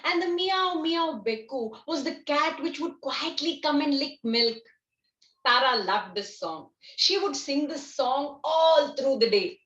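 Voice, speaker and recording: Echoey Indian woman telling folktales, higher voice. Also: expressive, measured pace, a bit bright. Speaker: storyteller. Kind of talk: live oral folktale storytelling. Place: indoors on a Zoom video call. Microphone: video call microphone. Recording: echoey, slightly dull video call audio.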